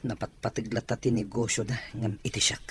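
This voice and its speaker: A man's voice: mid-range voice, little pitch movement, fairly quick, fairly bright tone, slight background noise, close to an everyday voice. A man with a Filipino accent playing a blunt but sympathetic rich young employer in an audio drama. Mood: resigned.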